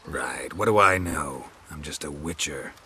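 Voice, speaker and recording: An actor playing a stoic, dry-witted monster hunter in a fantasy game, terse and deadpan. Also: male voice, rumbling low, a little slow, slight background noise, exaggerated voice. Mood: dry, sardonic. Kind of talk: scripted fantasy game acting.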